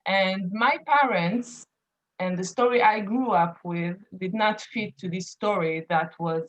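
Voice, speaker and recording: A woman's voice: medium pitch, poor audio. Earnest woman in her thirties. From Israel. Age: 30s. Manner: earnest, many fillers and restarts. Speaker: activist and association chairperson. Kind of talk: personal account in an interview.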